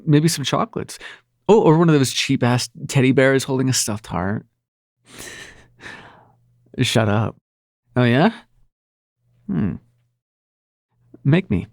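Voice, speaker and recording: A man's voice: medium pitch, lively intonation, creaky, dry recording, studio quality. A man playing a brash, flustered prince of nightmares in love, stammering and swearing through an intimate audio roleplay. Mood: flirty, nervous. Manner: halting, stammering, sweary and sardonic. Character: male supernatural prince of nightmares, brash, flustered, smitten lover.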